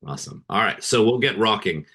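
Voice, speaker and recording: Middle-aged male podcast host, very deep voice, earnest and halting. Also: expressive, pushed and bright, slightly rough. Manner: earnest, hesitant, many fillers and restarts. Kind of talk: interview hosting.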